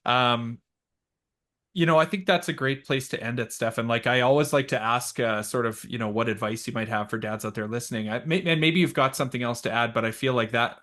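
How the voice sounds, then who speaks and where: A man's voice: medium pitch, brisk, a bit bright. Eager, affirming male podcast host. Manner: eager, affirming, frequent "right?" and "yep". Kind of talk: casual interview chat.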